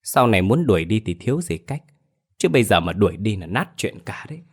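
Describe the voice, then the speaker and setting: A man's voice: lowish voice, very animated, a little fast, breathy edge, exaggerated voice. A man voicing a grown son who talks in a coaxing, reasonable way. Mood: persuasive. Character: calculating adult son, smooth and persuasive.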